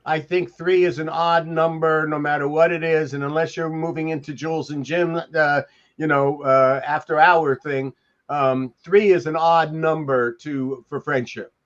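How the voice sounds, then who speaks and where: An older man chatting casually and reminiscing as a podcast panelist, in a halting, rambling way. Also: a little high, fairly even intonation. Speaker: podcast panelist, TV industry veteran. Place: home room, on a video call. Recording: dull lo-fi video call audio.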